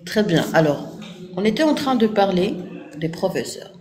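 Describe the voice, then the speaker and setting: A woman's voice: medium pitch, very animated, normal speed, a crowd in the background. A woman speaking French with an Arabic accent, Arabic as her first language, answering viewers in a firm, didactic live stream and checking agreement with "ok?". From Morocco. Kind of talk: casual live-stream talk.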